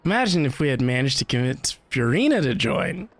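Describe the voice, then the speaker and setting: Low-voiced sarcastic woman gamer. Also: big pitch swings, brisk. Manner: sarcastic, dry quips. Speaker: gamer reacting to a story quest. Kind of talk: casual reaction commentary.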